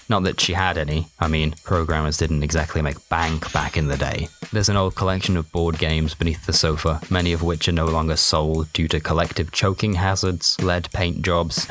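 A man's voice: low voice, fairly bright tone. A man narrating a first-person comic short story in a dry, colloquial, sardonic way. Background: music.

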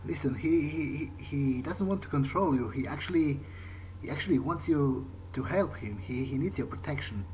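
A man's voice: on the high side, lively intonation, moderate pace, darkish tone, low-quality recording. Hesitant man roleplaying over game noise. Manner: hesitant, stammering, repeats words. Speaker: gaming video host playing in character. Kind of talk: improvised in-character game roleplay. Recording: clear game ambience noise behind. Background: video game ambience.

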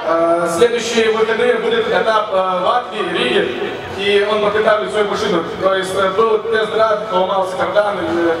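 A man answers slowly, pausing often to find words. His fairly high voice carries through booming speakers from far off. A crowd is heard behind. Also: fairly even intonation. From Lithuania. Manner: hesitant, with many pauses and fillers. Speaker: drift driver. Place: outdoor drift track. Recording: echoey PA sound from far off with crowd.

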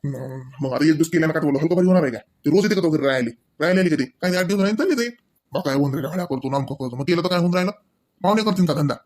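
A man's voice: on the high side, lively intonation, racing, a little mellow. A man voicing a harried village husband in a comedy cartoon, grumbling and placating with a Varhadi Marathi accent. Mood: irritated. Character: harried village husband, irritable but resigned peacemaker.

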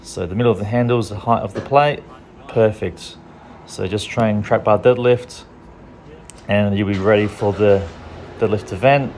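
A man with a lowish voice talks through strongman training in an easygoing, practical way. He sounds young and plain-spoken, like a coach showing gym members what to do. A faint hum sits under his voice. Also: steady tone, moderate pace, a little mellow. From Australia. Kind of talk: casual training tutorial voice-over.

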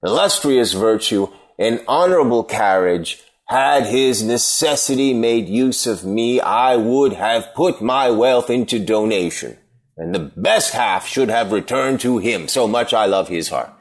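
Man as slow, grave moralizing stranger. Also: mid-range voice, lively intonation. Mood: grave disapproval. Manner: measured, solemn declaiming. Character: grave, moralizing male stranger condemning ingratitude. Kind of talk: unrehearsed Shakespeare play reading.

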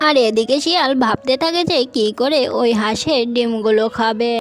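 A woman's voice: fairly high pitch, moderate pace. A woman narrating a scripted children's cartoon story. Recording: music bed behind the voice.

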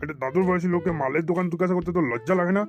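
Rapid-talking cartoon grandpa, acted by a man. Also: high voice, gravelly, faint music behind. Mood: outraged. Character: easily offended, chatty elderly Bengali grandfather. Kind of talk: comedy cartoon dialogue.

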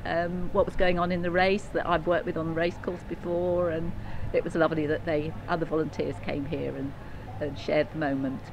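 An older woman, the parent of an athlete, speaking in a measured, matter-of-fact way outdoors at a marina. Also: low-pitched, level tone, creaky, slight background noise, rough recording. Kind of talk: casual interview.

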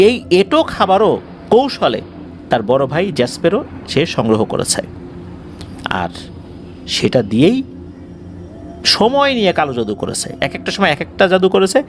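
A man's voice: high voice, very animated, normal speed, pauses often, a little hiss or hum. A man hosting a horror show, telling a story to listeners in a dramatic, emphatic, suspenseful manner.

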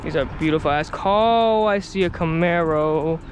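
A man's voice: fairly high pitch, moderate pace. A young man vlogging casually, laid-back and swearing freely while he looks over cars. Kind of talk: casual vlog. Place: outdoors at a car lot and in a truck cab. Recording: outdoor traffic and wind noise.

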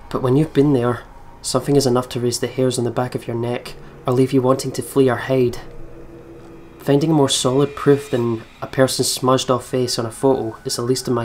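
A man, reading a game's text aloud as narration with some casual commentary. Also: medium pitch. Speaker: let's player reading a visual novel aloud. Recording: music behind the voice. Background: game music.

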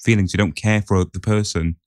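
A man's voice: lowish voice, steady tone, fairly quick, a little mellow, dry recording, studio quality. A man co-hosting a podcast, talking casually with the others. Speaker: podcast co-host. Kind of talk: casual chat.

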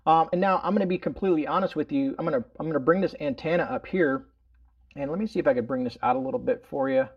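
A man's voice: a little high, quick pace, breathy edge, lo-fi sound. A middle-aged man hosting a casual product demonstration indoors, explaining in an informal, rambling way full of verbal tags. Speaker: host of a prepping product review channel. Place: indoors in a room at home.

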